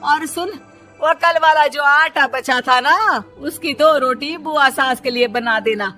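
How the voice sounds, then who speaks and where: A woman voicing a bossy, exasperated middle-aged mother-in-law with a loud, drawling, scolding manner in a comic story. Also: high voice, slow, faint music behind, voice pushed up, heavily acted.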